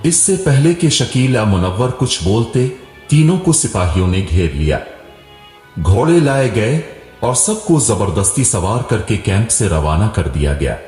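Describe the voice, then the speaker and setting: A man narrates a scripted tale in a low, animated voice. He takes his time with each line, and a long reverb hangs around the words. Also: a little slow. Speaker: storyteller. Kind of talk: scripted moral story narration. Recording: roomy echo with long reverb, music behind. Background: music.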